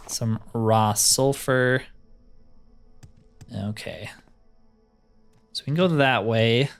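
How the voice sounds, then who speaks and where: Male gaming host, mid-range voice, casual think-aloud commentary with self-deprecating humor, game music underneath. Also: medium pitch. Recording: music behind the voice.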